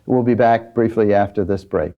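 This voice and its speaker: Male talk show host, dull lo-fi sound. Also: on the low side. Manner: measured, clarifying questions.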